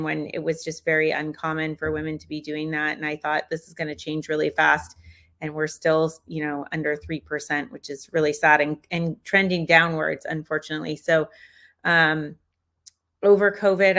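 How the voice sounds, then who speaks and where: Low-pitched woman, bright, flat delivery. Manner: chatty, quick restarts. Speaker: panelist, founder and investor. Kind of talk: casual panel self-introduction.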